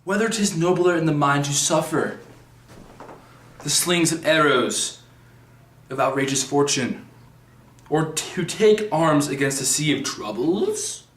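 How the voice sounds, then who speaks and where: Male, amateur player as despairing young prince in stage tragedy, slow halting verse recitation, echoey room. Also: a little high, animated, a bit bright. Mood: brooding. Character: brooding young tragic prince, contemplative and despairing. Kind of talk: halting recited Shakespeare soliloquy.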